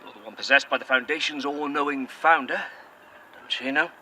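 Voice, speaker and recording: A man's voice: medium pitch, fairly even intonation, a little fast, pushed and bright, slight background noise. An actor playing a curt, sarcastic man who swears freely. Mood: dry sardonic. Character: bitter, sweary, sardonic man, weary. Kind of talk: audio drama acting.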